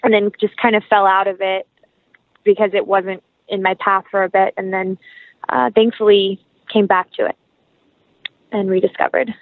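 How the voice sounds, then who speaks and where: A woman's voice: medium pitch, level tone, normal speed, long pauses, dry recording, phone-quality sound. A young American woman chatting enthusiastically and casually as an interview guest. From North Carolina, United States.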